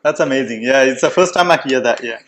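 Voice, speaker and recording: A man in his forties hosting a casual chat over a meal, speaking haltingly with many restarts and fillers. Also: medium pitch, background noise. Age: 40s. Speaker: host.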